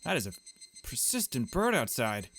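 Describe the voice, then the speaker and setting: A man's voice: mid-range voice, wildly swooping pitch, moderate pace, a bit bright, slight background noise. A man giving casual playthrough commentary as a game streamer, with playful, wry asides.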